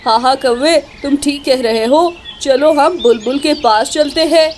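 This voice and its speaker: A woman's voice: sits high, measured pace, slight background noise. A woman voicing an emphatic talking character in a jungle cartoon story, speaking in exclamations. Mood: earnest. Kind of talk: Hindi cartoon story dialogue.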